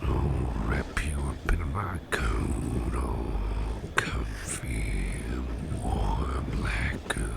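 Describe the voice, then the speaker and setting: A man playing a drowsy, gluttonous, protective monster businessman in an ASMR roleplay, murmuring haltingly in a breathy, gravelly voice. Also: a bass voice, full character voice. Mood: cosy, soothing. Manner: drowsy, halting, mumbling with drawn-out words. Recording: heavy background noise.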